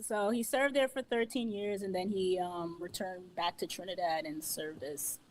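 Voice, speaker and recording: A woman's voice: on the low side, fairly even intonation, normal speed, low-quality recording. Playful New York-raised woman guest. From New York, United States. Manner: playful, laughs while telling stories, repeats punchlines. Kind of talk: casual radio interview.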